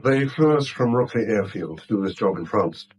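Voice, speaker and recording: Low, grave British war veteran acted by a man. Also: animated, moderate pace, a little mellow, highs cut off, lightly acted. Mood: grim recounting. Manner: grave, clipped, stubborn. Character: principled, haunted male war veteran turned bank employee. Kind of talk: 1970s British radio drama acting. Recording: vintage radio recording with faint hiss.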